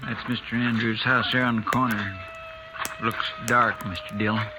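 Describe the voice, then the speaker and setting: A man's voice: lowish voice, fairly even intonation, normal speed, music in the background, natural voice. A man playing an earnest, loyal frontier deputy in a vintage-style radio drama, eager and deferential with a drawl. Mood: anxious. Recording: very noisy audio.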